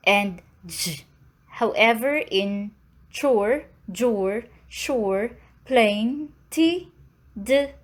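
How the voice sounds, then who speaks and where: A woman with a Filipino accent, an English teacher giving a deliberate lecture and sounding out speech sounds one by one. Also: mid-range voice. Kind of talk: slow, deliberate classroom lecture.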